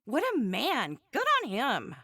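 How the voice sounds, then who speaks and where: A woman's voice: a little high, huge pitch swings, unhurried, pushed and bright, husky, no room sound, clean studio sound, full character voice. A woman playing a spirited, blunt, proud country wife and mother in an anime video game, frank and folksy. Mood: amazed, admiring. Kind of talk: scripted anime game voice acting.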